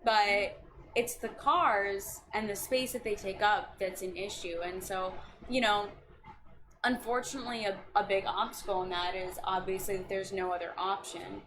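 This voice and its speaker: A woman's voice: mid-range voice, fairly even intonation, normal speed. A woman in her twenties, a county district staff member, talking earnestly and passionately about local policy in a casual chat. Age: 20s. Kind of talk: casual chat on a video stream. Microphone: video call. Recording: noisy recording.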